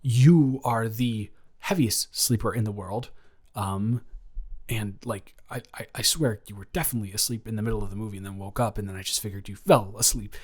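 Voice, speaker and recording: A man's voice: lowish voice, fairly even intonation, a little fast, a little mellow, slightly rough. A man playing a nervous, lovestruck young man confessing to his best friend in an improvised romantic audio roleplay, stammering and rambling as he goes. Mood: flustered, defensive.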